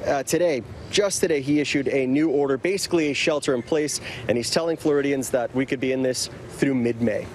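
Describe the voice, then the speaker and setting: A man in his thirties giving a live news report from outdoors by a city park, with a brisk, clear delivery. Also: mid-range voice, slight background noise. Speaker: field reporter. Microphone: clip-on mic, medium camera shot.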